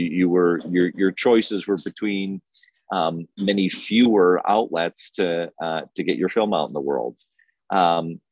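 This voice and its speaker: A middle-aged man speaking as an interview guest, thoughtful and hesitant, often pausing to deliberate mid-sentence. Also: sits low, no room sound, dull audio. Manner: halting, deliberating, many long hesitations. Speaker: film festival organiser, interview guest. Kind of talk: thoughtful panel conversation.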